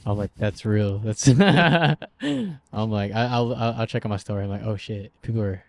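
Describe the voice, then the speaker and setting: A man's voice: lowish voice, expressive, moderate pace, vocal fry, a little mellow. Man, twenties, casual podcast talk, slangy, laughs a lot. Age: 20s. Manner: laid-back, slangy, laughs often. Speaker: podcast co-host. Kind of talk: casual chat.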